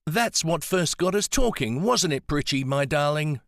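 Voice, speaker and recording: A man voicing a gentle, determined older woman with a soft Antipodean accent, coaxing and soothing. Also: on the high side, animated, normal speed, creaky, no room sound, clean studio sound, pitched above the performer's own voice. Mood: friendly. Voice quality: soft-spoken.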